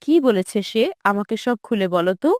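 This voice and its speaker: A woman playing a gentle, pious mother in a cartoon, soothing and patiently instructive. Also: mid-range voice, brisk, dry recording, clean studio sound, voice pushed down, lightly acted. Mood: gentle, reassuring.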